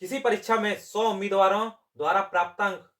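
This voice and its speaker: Male tutor, fairly high voice, explains step by step and answers his own questions. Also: fairly even intonation, a little fast, a bit bright. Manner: asks questions and answers them himself. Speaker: exam coaching maths teacher. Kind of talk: step-by-step lesson.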